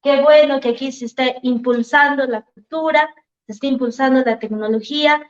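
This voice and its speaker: Woman speaker, high voice, rallying rhetorical speech, dull lo-fi sound. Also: steady tone, normal speed. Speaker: event speaker. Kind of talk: rousing speech. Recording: dull lo-fi event recording.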